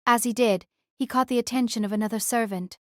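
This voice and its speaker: A woman's voice: on the high side, fairly even intonation, fast, fairly bright tone, no echo, clean studio sound. A woman reading scripted story narration as an audiobook narrator.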